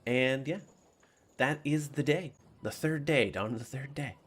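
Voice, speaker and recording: A man's voice: mid-range voice, measured pace, fairly bright tone, husky. A man speaking informally and chattily as a game master, narrating and commenting on a tabletop campaign.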